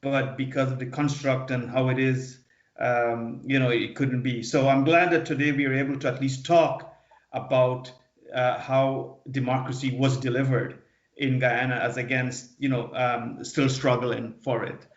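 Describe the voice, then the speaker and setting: A man in his fifties speaks as a panel guest, recalling his past earnestly and stumbling over repeated words. His voice sits at an ordinary pitch for a man. The sound is muffled and roomy, like remote audio in an echoing space. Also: level tone. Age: 50s. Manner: halting, earnest, often repeats and restarts words. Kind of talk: personal recollection in a panel discussion. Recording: dull, echoey remote audio.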